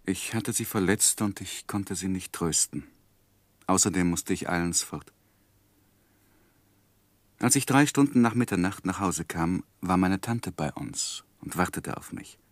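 Earnest, low-voiced young husband. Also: male voice, unhurried, no echo. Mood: reflective narration. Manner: earnest, hesitant in argument. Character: earnest young husband, anxious, patient, narrating his life. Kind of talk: 1960s German radio drama acting and literary narration.

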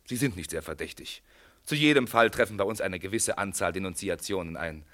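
A police inspector from a radio play, with a fairly low voice. He questions people with bland courtesy and never hurries, shrewd beneath the routine politeness. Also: a little fast, a bit bright. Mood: matter-of-fact probing. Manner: probing, matter-of-fact, casually polite. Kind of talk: German radio play acting.

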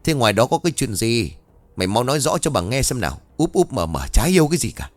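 A man's voice: medium pitch, very animated, no echo, natural voice. A man doing a blunt, gossipy old fishmonger woman. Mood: scolding. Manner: brash, scolding market talk, quick and rough.

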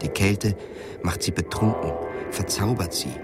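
A man narrating a literary radio drama in a measured, grave, deliberate manner. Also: low voice, loud music behind the voice.

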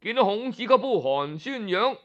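A man tells a tale in Cantonese-accented storyteller style, with a fairly high voice that swings widely in pitch. He takes his time, explaining things conversationally and stretching the particles that close his phrases. The audio is slightly muffled and lo-fi. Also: very animated, moderate pace, a bit bright. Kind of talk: Cantonese oral storytelling, scripted yet colloquial narration.

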